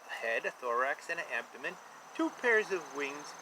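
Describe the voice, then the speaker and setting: A man's voice: on the high side, big pitch swings, moderate pace. A man in his fifties reading a children's book aloud, with simple, repetitive, emphatic explanations for young listeners. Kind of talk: read-aloud storytelling with explanatory asides. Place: outdoor backyard beside a beehive. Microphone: camera at about arm's length. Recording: outdoor noise with insect chirps.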